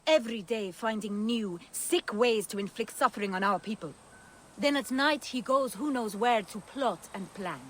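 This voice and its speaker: An actress playing a fierce, bitter rebel fighter in a video game cutscene. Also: female voice, on the high side, normal speed, close to an everyday voice. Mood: bitter, angry. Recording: faint outdoor ambience noise.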